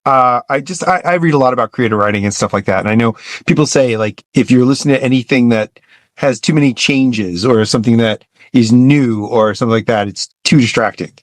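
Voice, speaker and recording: Man, mid-pitched, dry sound, hesitant casual co-host. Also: a little fast, dry recording. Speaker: interview co-host. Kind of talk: casual chat.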